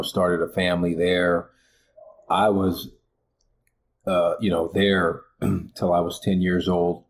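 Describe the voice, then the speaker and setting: A very deep, flat-voiced man in his forties speaks earnestly, filling his sentences with "you know" and "uh". He is a college basketball coach from Liberia, recorded with a faint hiss of noise behind him. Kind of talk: podcast interview, reflective storytelling.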